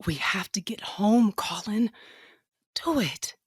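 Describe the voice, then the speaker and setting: A woman's voice: mid-range voice, expressive, slow pace, husky, no room sound, clean studio sound, heavily acted. A woman playing a decisive, sardonic wife on a fantasy quest in an audio drama. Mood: urgent, determined.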